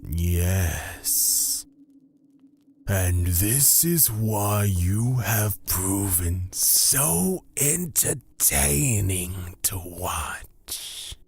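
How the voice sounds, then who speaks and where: An actor playing a calm, menacing god of fear, speaking deliberately and formally in a husky, breathy voice in a fantasy audio drama. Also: male voice, lowish voice, exaggerated voice. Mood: amused, measured. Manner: deliberate, formal, drawn-out phrasing.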